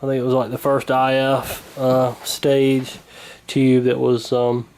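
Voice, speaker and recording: A man casually narrating his repair of an old radio, relaxed and rambling, with plenty of ums and uhs. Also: medium pitch, slow, clear, resonant tone. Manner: relaxed, rambling, many fillers.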